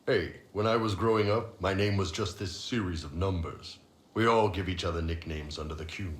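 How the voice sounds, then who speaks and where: Man playing a huge brash warrior boss, very low voice, jovial, blunt, video game dialogue, roomy. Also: normal speed, close to an everyday voice. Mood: teasing. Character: big, brash, jovial horned mercenary chief. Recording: roomy game capture, faint background noise.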